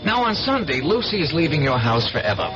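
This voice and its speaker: A dull old radio recording with music carries an earnest, genial painter. He speaks eagerly and a little formally with lively, mobile pitch, in 1970s radio drama manner. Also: brisk. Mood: eager, grateful.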